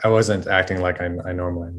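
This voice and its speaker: A man's voice: sits low, steady tone, fast talker, fairly bright tone, slight background noise. A man appearing as a podcast guest, talking hesitantly and apologetically in casual conversation, often repeating his words.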